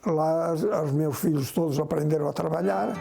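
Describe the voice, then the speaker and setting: An older man from Portugal tells his own story in a documentary interview. His voice is very gravelly and dark, a little high for a man. He speaks slowly, stopping and restarting his words. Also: a little hiss or hum. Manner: halting, repeats and restarts words. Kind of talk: recalling his past in an interview.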